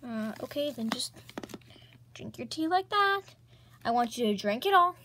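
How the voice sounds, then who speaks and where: A woman's voice: a little high, moderate pace, background noise. A woman voicing a patient mother who checks her daughter's temperature and grows suspicious of her faked illness, in a comedy skit. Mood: doubtful. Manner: calm, matter-of-fact, suspicious. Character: patient, doubtful mother of two girls.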